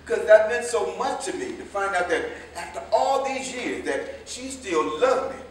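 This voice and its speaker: A man's voice: high voice, lively intonation, a little slow. An American man acting a sentimental, pleading drunkard who rambles emotionally in a staged play reading. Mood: tearful, reminiscing. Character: maudlin, pleading drunkard, sentimental jitney driver. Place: indoor staged reading, recorded from afar. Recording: echoey, noisy stage from far off.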